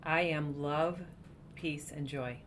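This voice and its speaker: A woman speaking slow, soft affirmations in a guided meditation. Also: steady tone. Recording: faint background rumble.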